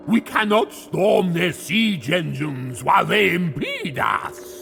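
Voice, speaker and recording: A proud, defiant zealot warlord, speaks in a gritty, fairly high male voice. He draws his words out very slowly, grandiose and declamatory, as in a fantasy game cutscene over music. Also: huge pitch swings, creaky, exaggerated voice. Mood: fierce battle fury. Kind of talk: video game cutscene acting.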